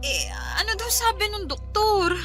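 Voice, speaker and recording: A woman's voice: very high voice, lively intonation, measured pace, over music. An actress in a radio drama playing a blunt, worried young woman who hesitates with fillers before saying hard truths. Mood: uneasy. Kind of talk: Tagalog radio drama acting.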